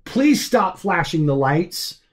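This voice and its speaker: A man's voice: high voice, big pitch swings, slow pace, pitched above the performer's own voice, heavily acted. A man puts on an anxious young-hero pilot for a sci-fi audiobook. He sounds exasperated and confused, exclaiming with agitation like a captive out of his depth. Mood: distressed, confused. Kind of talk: dramatic audiobook character voice.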